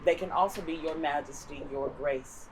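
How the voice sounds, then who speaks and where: A woman's voice: low-pitched, moderate pace. Woman, 50s, entertainer, jokey interview answers, faint room noise. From Chicago, USA. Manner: playful, joking. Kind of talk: documentary interview.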